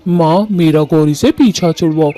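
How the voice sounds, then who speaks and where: A man's voice: fairly high pitch, moderate pace, dark tone, lightly acted. A man voicing a cruel, grumpy husband in a cartoon, taunting and complaining. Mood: whining. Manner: harsh, complaining. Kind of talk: Hindi cartoon voice acting.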